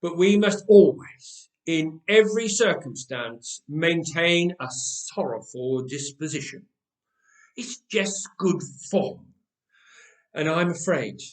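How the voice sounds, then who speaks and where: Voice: medium pitch, reverberant, poor audio. The narrator voicing a senior woman professional mourner who is prim, genteel and condescendingly patient in a comic story. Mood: prim gravity.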